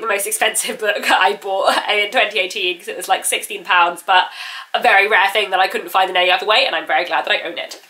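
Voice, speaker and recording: A young woman talks fast and eagerly about the books she has just got, her voice a little strained from a cold. Her tone is bright and forward, and she keeps stacking up phrases like "really, really" as she goes. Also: medium pitch. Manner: chatty and enthusiastic, with frequent "really, really". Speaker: book vlogger. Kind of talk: casual book haul vlog.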